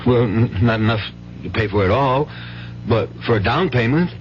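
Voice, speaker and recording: An actor playing a desperate, weak-willed gambling father with an American accent and a halting, pleading delivery in a radio drama. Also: mid-range voice, animated, lightly acted. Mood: earnest, insistent. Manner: halting, pleading, repeats himself. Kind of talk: old-time radio drama acting. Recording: dull, noisy 1970s radio recording with hum.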